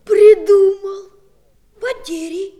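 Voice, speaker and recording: Very high-voiced curious child. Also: female voice, steady tone, slow pace, mellow. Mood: eager, curious. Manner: eager, questioning. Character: curious, excitable young child. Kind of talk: 1960s Russian children's audio play acting.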